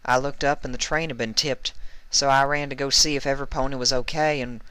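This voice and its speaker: A man voicing a gentle, wounded young female pony in a soft, strained, folksy storybook character voice. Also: medium pitch, fairly even intonation, brisk, clean tone, no echo, voice pushed up, lightly acted, low-quality recording. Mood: pained, reassuring.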